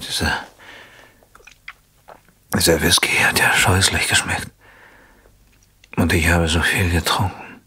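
Radio drama husband, drained and trusting; slow, halting male delivery, rough and forceful tone. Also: deep voice, level tone, exaggerated voice. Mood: drowsy, halting. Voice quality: very husky, raspy. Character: exhausted, trusting, drugged husband, weary and bewildered. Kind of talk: German radio play acting.

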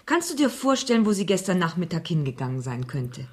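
An actress playing a young policewoman in a German radio crime drama, questioning calmly, plainly and patiently. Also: on the low side, a little fast, breathy edge.

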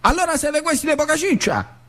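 A man's voice: very high voice, big pitch swings, a little fast, pushed and bright, pitched above the performer's own voice, exaggerated voice. A man voicing a nosy uncle back from the war who questions a boy in a theatre monologue. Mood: interrogating.

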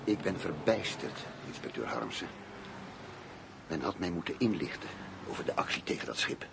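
A lowish male voice with faint noise behind it, as a determined police commissioner in a radio drama. He sounds serious and formal, choosing his words deliberately. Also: lively intonation, creaky. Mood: bitter, indignant. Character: grave, determined German police commissioner, loyal and suspicious. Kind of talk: radio play acting.

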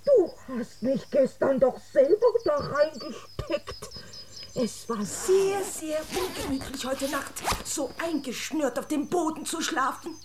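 An actress playing a haughty, dramatic but affectionate toy princess in a children's radio drama. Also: female voice, sits high, animated, slow pace, background noise. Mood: indignant, wounded. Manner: pompous, dramatic, exclaiming and lamenting.

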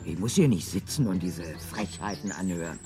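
A stubborn married woman in a radio drama, prickly yet full of longing. Her dramatic delivery swings widely in pitch, with music behind her. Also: expressive, moderate pace. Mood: indignant. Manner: dramatic, defiant, emotionally changeable. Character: stubborn, yearning married woman Hilde, prickly then passionate. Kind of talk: radio play acting.

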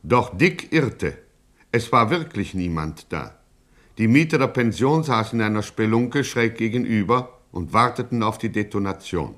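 A man's voice: on the low side, animated, normal speed, a bit bright. A man narrating a radio crime satire in a scripted mock-epic style, pompous and grandiose.

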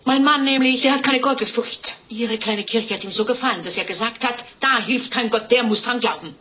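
An actress in a 1950s German radio drama playing a French innkeeper's wife who confesses murders in a calm, guileless, chatty way. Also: female voice, on the high side, brisk, lightly acted. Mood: worried, confiding. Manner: matter-of-fact, guileless, chatty. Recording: dull, noisy old radio recording.

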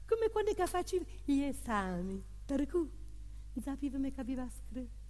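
A high, insistent pleading lover. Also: female voice, big pitch swings, mellow. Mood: coaxing, teasing. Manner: slow, drawn-out, repetitive, insistent delivery. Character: a woman pleading with and teasing her beloved. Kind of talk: theatrical stage monologue. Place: theatre hall, live stage performance. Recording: long hall reverb, live music behind.

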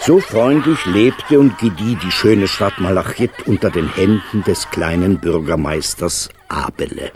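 An actor narrating a radio fairy tale as its storyteller, in a deliberate, dramatic manner. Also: male voice, sits low, animated, background noise. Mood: storytelling. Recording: music behind.

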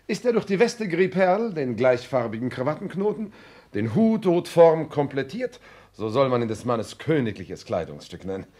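A man's voice: mid-range voice, very animated, moderate pace, a little mellow, lightly acted. A man playing a foppish, worldly young aristocrat in a 1960s German radio play, pompous and teasing, sprinkling in French and English phrases.

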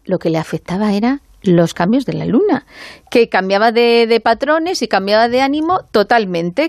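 A woman's voice: mid-range voice, a little mellow, no room sound, studio quality. A woman with a Spain Spanish accent, telling stories and laughing often in a casual radio chat. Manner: chatty, laughing storyteller. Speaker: radio contributor.

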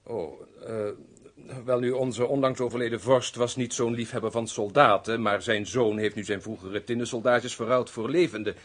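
An actor playing a courtly, wry military major in a radio drama, speaking in a formal and gallant manner. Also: male voice, medium pitch, fairly even intonation, moderate pace, natural voice. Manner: formal, gallant, muttering asides.

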